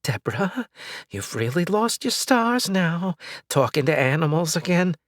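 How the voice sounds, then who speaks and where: A man's voice: very high-pitched, very animated, measured pace, a bit bright, no echo, studio quality, voice pushed up, full character voice. A man voicing a cranky, cursing old woman. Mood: bewildered. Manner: cursing, suspicious, muttering. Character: foul-mouthed cranky old woman neighbor.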